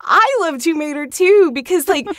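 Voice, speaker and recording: A woman talking casually and chattily as an interview guest, telling stories in an animated way. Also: very high voice, moderate pace, no echo, clean studio sound. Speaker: podcast guest, video game voice actor.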